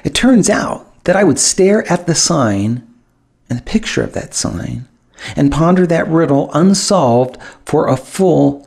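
A man narrating a first-person memoir as an audiobook, telling the story wryly with dramatic pauses. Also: on the high side, gravelly, a little mellow. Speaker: author reading own audiobook.

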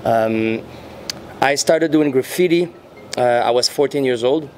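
A man in his thirties with a Quebec French accent, French his first language, answering interview questions in English, unhurried, pausing often with fillers into handheld mics. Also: medium pitch, darkish tone, a little hiss or hum. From French-speaking Canada. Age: 30s. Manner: unhurried, searching for words, frequent fillers. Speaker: interviewee, muralist and rapper. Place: indoor venue before a painted backdrop. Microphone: handheld mics held near the chin.

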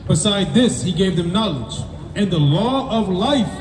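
A man's voice: sits high, expressive, measured pace. A man reading Bible verses aloud in a declamatory way. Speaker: scripture reader. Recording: echoey, distant mic with crowd noise.

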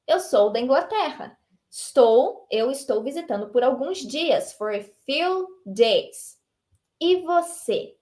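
High-voiced Brazilian woman teaching English. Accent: Brazilian Portuguese. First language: Portuguese. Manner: lively, encouraging, reads English lines slowly. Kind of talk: online English lesson. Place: small indoor room.

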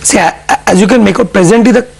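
Husky, high-voiced businessman. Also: a little mellow. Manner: measured, explanatory, businesslike. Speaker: CFO guest. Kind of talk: business news interview.

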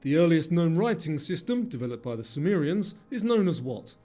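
A man's voice: fairly high pitch, sing-song, brisk, dark tone. A middle-aged man reading scripted quiz narration as the presenter. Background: background music.

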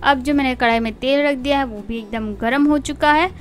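A middle-aged woman hosting a home cooking channel, calmly explaining each step of a recipe to viewers in a voice-over. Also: sits high, fairly quick. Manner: calm, explanatory, addresses viewers directly. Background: soft background music.

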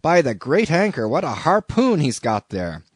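A man voicing a gruff, blustering old whaling captain in a storybook reading, loud, hearty and commanding. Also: fairly high pitch, very animated, moderate pace, dry recording, voice pushed up. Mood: hearty excitement.

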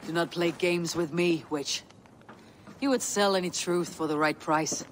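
An actress playing a stern, determined warrior god in a video game, with grave, formal phrasing. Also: female voice, lowish voice, unhurried, slight background noise, natural voice. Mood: stern, demanding. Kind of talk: dramatic video game cutscene acting.

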